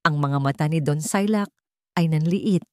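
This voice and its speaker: A woman's voice: low voice, moderate pace, no echo. A woman narrating a scripted dramatic story.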